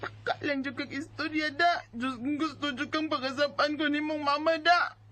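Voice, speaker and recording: Radio drama: defiant teenage younger sister played by a woman, Filipino accent, piercing and high, dismissive. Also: fairly even intonation, moderate pace, fairly bright tone. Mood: defensive. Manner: snappy, dismissive back-talk. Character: defiant, sulky sixteen-year-old younger sister.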